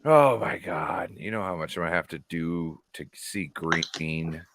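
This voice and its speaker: A man's voice: low-pitched, a little slow, a bit bright, slight background noise. A man from California chatting casually as a show host, rambling and swearing freely. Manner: loose, profane and rambling. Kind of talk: casual chat.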